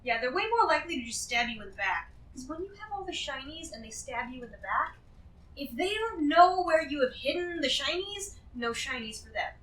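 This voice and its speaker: A woman's voice: high-pitched, big pitch swings, brisk, a bit bright. A woman playing a greedy, shrewd treasure-hoarder in a fantasy role-play, rambling and emphatic as she justifies herself. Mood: reasoning, persuasive. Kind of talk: improvised tabletop fantasy role-play acting. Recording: echoey room, distant from the mic.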